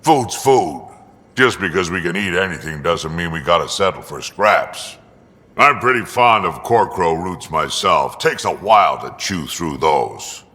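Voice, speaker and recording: Very deep, gruff old alien mercenary. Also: male voice, expressive, a little slow, bright, forceful tone, in a large hall, exaggerated voice. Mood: gruff, wry. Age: older. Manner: blunt, dry, folksy, salty. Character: ancient gruff male alien mercenary, blunt proud grandfather. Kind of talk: video game character dialogue.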